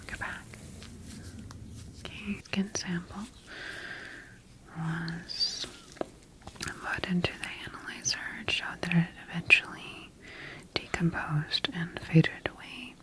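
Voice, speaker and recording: A woman whispering breathily in an ASMR sci-fi roleplay as a scientist studying her creation, speaking deliberately with pauses. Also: fairly low pitch, fairly even intonation. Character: quietly rogue female scientist studying her engineered creation. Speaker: ASMR performer.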